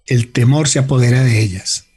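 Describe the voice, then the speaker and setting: Narrador de radionovela, voz algo velada. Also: male voice, mid-range voice, darkish tone, slightly rough. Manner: measured, literary, dramatic. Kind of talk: scripted radio drama narration.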